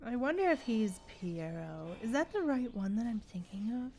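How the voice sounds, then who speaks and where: A woman's voice: on the high side, big pitch swings, normal speed, mellow, a little hiss or hum. A woman chatting casually as she streams a game, with a playful, exclaiming way of talking to viewers. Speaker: livestream host. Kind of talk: casual gameplay livestream chat.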